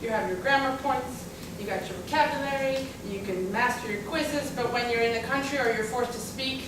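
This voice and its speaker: A woman's voice: a little high, normal speed. A young woman from Russia whose first language is Russian, chatting on a panel about her own story with many fillers and asides. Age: young adult. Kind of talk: casual personal story on a panel. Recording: echoey room, far from mic, background noise.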